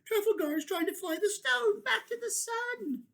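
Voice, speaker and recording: An adult reader voicing a small, sad baby creature in a storybook, speaking softly and tearfully. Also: very high voice, normal speed, vocal fry, pitched above the performer's own voice, heavily acted. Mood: alarmed. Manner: soft, tearful, pleading.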